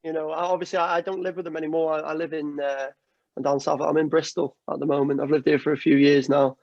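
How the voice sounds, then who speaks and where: A man's voice: on the high side, flat delivery, fast talker, gravelly, no echo, dull audio. A man from Wales, chatting casually on a podcast as a guest, laughing often and swearing now and then. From North Wales. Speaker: guest singer-songwriter.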